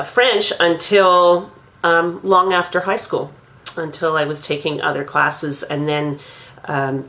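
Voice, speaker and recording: A woman in her fifties from Quebec, thoughtfully recounting her own school memories in a measured, pausing monologue. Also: on the low side, fairly bright tone. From Quebec, Canada. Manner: measured, thoughtful, with frequent pauses. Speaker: grandmother recounting her language learning. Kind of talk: reflective personal monologue.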